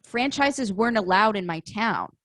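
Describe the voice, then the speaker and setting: A woman's voice: medium pitch, expressive, normal speed, a bit bright, dry recording. A young woman from Illinois, a podcast guest, reminiscing about her hometown in a rambling, giggly way with many fillers. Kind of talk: casual chat.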